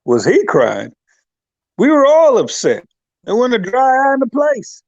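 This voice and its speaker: A man's voice: very high-pitched, huge pitch swings, a little slow, creaky, breathy edge, no echo, muffled recording, full character voice. A man playing a profane, pompous caller on a comedy radio bit, speaking. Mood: gushing. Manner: drawling, profane, pompous ranting.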